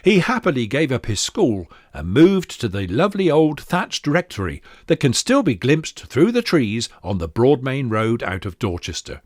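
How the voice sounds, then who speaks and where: A man's voice: medium pitch, huge pitch swings, moderate pace, hardly any pauses, dry recording, studio quality. A man reading scripted narration as he introduces poetry.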